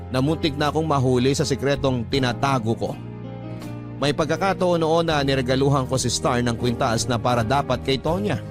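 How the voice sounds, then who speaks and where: A man's voice: medium pitch, close to an everyday voice. Man narrating as confessional young lover over music. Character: remorseful young man confessing a double love life. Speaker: letter-sender narrator. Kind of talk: scripted first-person radio drama narration.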